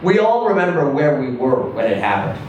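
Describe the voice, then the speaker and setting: A man reading a literary short story aloud in a theatrical, emphatic manner, with deliberate pauses and swells. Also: mid-range voice, very animated, a little mellow, lo-fi sound. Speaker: story reader. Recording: distant echoey room with faint noise.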